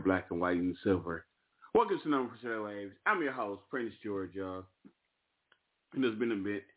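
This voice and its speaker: A man hosting an internet radio show in a relaxed, rambling way, his voice hoarse as he recovers from losing it. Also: fairly low pitch. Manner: relaxed, rambling, with many fillers. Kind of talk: casual internet radio talk. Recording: tinny phone-line audio.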